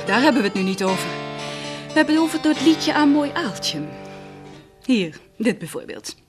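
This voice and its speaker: A woman's voice: sits high, big pitch swings, normal speed. A proud, mocking young lady. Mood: commanding. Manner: cool, probing, mocking. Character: proud, sharp-tongued young lady of standing. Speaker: radio drama actress. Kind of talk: 1970s radio play acting. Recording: radio play with faint music.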